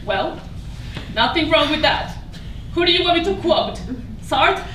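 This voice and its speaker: A soothing, practical bilingual housekeeper, acted by a woman for a staged reading. She speaks slowly and is heard from a distance, with clear background noise. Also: high-pitched, animated, sharp tone, full character voice. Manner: soothing, bilingual, mixes Spanish into English. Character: calm, trusted Spanish-speaking hotel housekeeper, a woman. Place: indoor room at a staged reading. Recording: echoey, distant, noisy stage recording.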